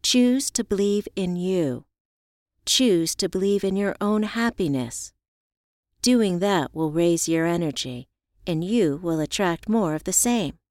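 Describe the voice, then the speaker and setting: A woman voicing a reflective, encouraging heroine in an audiobook, speaking in a measured, earnest way. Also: on the low side, animated, a little mellow, no room sound, studio quality.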